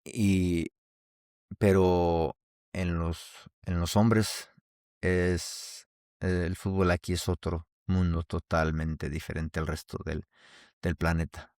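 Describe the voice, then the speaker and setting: A man's voice: deep voice, flat delivery, slow, darkish tone, no echo, clean studio sound. A man from Mexico with a Mexican accent, hosting a casual solo podcast and talking in a rambling way with many restarts. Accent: Mexican Spanish. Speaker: podcast host.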